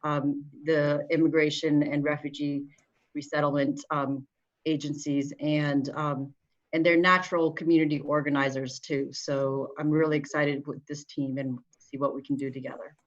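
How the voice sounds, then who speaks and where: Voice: mid-range voice, little pitch movement, moderate pace. A city program coordinator facilitating a meeting over a video call, upbeat and using many fillers. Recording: dull video call audio.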